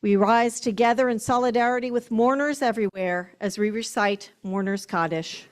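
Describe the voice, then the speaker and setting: A woman rabbi leading a service, reading and reciting prayers in a solemn, measured, formal way with careful enunciation. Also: fairly high pitch.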